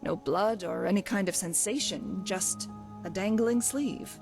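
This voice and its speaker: A woman's voice: medium pitch, expressive, unhurried, vocal fry. Woman acting wry, cocky fantasy thief, music behind. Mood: wry, matter-of-fact. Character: brash, wry female thief, undying adventurer. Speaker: companion character in a fantasy game. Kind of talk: voiced game dialogue.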